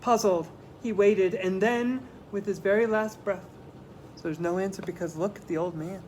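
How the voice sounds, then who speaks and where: A man's voice: sits high, a little mellow, rough recording. A man reading a picture book aloud to children, with dramatic phrasing and simple explanatory asides. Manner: dramatic phrasing, slowing for emphasis. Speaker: children's story reader. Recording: clear background noise.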